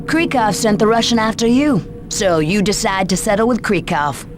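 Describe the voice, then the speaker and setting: A woman's voice: medium pitch, normal speed, close to an everyday voice. Actress as pointed, sardonic female interrogator. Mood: probing. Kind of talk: video game cutscene dialogue. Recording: music behind the voice.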